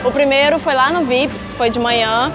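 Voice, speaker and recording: A woman's voice: sits high, moderate pace. A young Brazilian woman chats casually and informally to camera as a fashion vlogger, addressing her followers directly. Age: young adult. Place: outdoors by a storefront and street. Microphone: lapel mic. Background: music.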